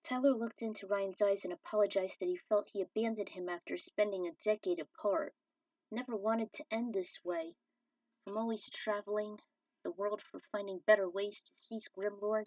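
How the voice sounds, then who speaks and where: A woman's voice: medium pitch, no echo. A woman narrating and commenting on her own fan fiction as an amateur storyteller. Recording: dull, slightly distant microphone.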